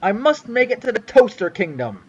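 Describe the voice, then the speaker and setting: A man's voice: high-pitched, very animated, moderate pace, breathy edge, dull audio. A young man giving a mock-dramatic reading of a fanfic on a livestream, with a theatrical, sweeping storyteller delivery. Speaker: reader on a livestream.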